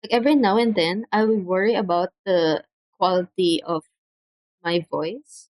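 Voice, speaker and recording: A young woman from the Philippines, soft-spoken and hesitant, chatting casually on a home livestream through a cheap mic. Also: on the low side, fairly even intonation, normal speed, dry recording, low-quality recording. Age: young adult. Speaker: streamer. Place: home room. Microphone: cheap desk mic.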